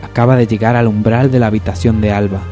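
Male radio-soap narrator: low, mellow, scripted storytelling over faint music. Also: fairly even intonation, quick pace. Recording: music behind the voice.

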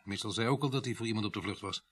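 An actor playing a brusque, probing police sergeant in a radio drama, speaking in terse questions and replies with a husky voice. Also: male voice, on the low side, racing, a bit bright. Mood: subdued probing.

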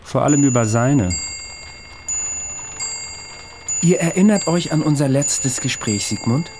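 A man's voice: mid-range voice, expressive, moderate pace, darkish tone, a little hiss or hum, lightly acted. A man acting in a radio play as a confident, eccentric amateur psychoanalyst, eloquent, probing and provocative. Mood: musing, self-assured.

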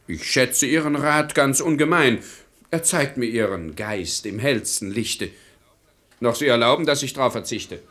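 A man's voice: medium pitch, expressive, a little slow, a bit bright. A man playing a stubborn, domineering middle-aged father in a verse comedy radio play, emphatic and dismissive. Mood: curt.

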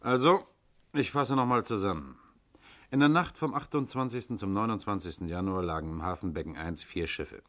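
Ein Schauspieler spielt in einem Krimihörspiel der 1950er einen nüchternen, knappen, befehlsgewohnten Kriminalkommissar. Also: male voice, fairly low pitch, moderate pace, a bit bright, a little hiss or hum, close to an everyday voice. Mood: matter-of-fact. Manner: matter-of-fact, commanding, clipped. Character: brisk, sober, authoritative male police inspector. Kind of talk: 1950s German radio crime drama acting.

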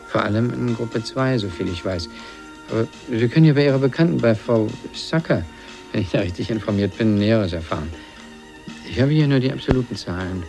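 A man's voice: medium pitch, gravelly, darkish tone. A man acting a calculating, controlling media executive in a German radio drama, giving clipped, businesslike instructions. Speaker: actor.

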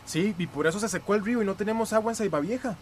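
A man's voice: sits high, rapid-fire, a little hiss or hum, lightly acted. A man playing a concerned, practical friend in a radio drama, earnest and explanatory.

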